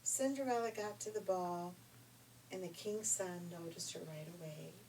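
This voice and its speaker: An older woman telling a fairy tale informally, in a folksy, expressive, chatty way. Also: fairly low pitch, normal speed. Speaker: storyteller. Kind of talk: informal read-aloud fairy tale storytelling with asides. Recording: distant mic with faint background noise.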